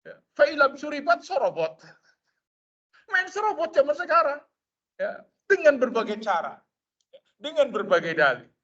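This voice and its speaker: Bright-voiced high-pitched Indonesian preacher. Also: male voice, normal speed, long pauses, lo-fi sound. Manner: expressive, acts out quoted people in asides. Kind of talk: mosque study lecture. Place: mosque.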